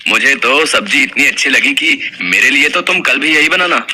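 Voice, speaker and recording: Very bright, bossy village brother, acted by a man. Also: medium pitch, animated, moderate pace, husky, heavily acted. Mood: delighted. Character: village brothers, hearty and bossy, in a folk tale.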